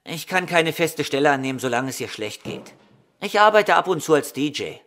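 A man's voice: a little high, big pitch swings, moderate pace, fairly bright tone. A man playing a polite, open young man with burdens, speaking plainly in a crime radio play. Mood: earnest.

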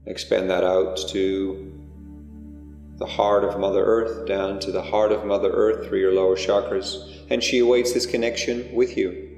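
Man, guided meditation, low-pitched, hushed phrases with pauses, deep echoing reverb, music behind. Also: flat delivery. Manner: unhurried, hushed instruction with pauses between phrases.

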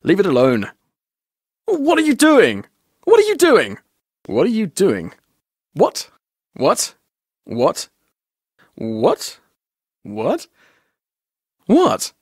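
A wry British tour guide. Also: male voice, high-pitched, wildly swooping pitch, extremely slow, long pauses, a little mellow, husky, dry recording, clean studio sound, full character voice. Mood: curt. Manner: sarcastic, joking. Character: wry, cash-strapped young male tour guide, injured. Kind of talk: video game character voice lines.

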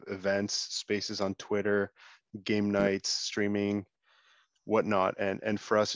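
A man who co-founded a project speaks as a panelist in a casual, measured way, leaning on "um". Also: fairly low pitch, no room sound. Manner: even, measured, leans on "um". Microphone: remote call audio. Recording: dull, lo-fi call audio.

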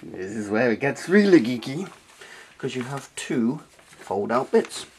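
British man, casual tech tutorial, animated pitch, chatty and self-deprecating, faint background noise. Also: measured pace. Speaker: host of a retro tech review channel. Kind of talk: casual hands-on tutorial and review. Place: small cluttered indoor room at a desk.

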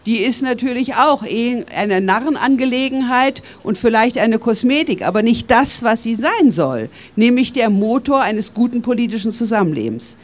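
An older woman from Berlin giving a measured, earnest public speech outdoors into a stand microphone. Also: on the high side, expressive. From West Berlin, Germany. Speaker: public speaker. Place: outdoors by stone columns.

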